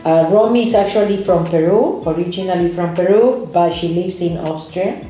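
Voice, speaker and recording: A woman with a low, mellow voice leads a relaxed conversation, playful and friendly with her guests. The sound is very roomy and echoey, with faint music underneath. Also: normal speed. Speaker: seminar leader hosting a chat.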